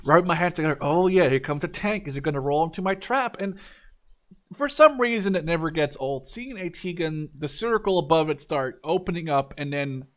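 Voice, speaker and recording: A man's voice: a little high, brisk. A man in his twenties giving casual, enthusiastic game commentary, informal and swearing freely. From Canada or Netherlands, Dutch. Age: 20s. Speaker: gaming video creator.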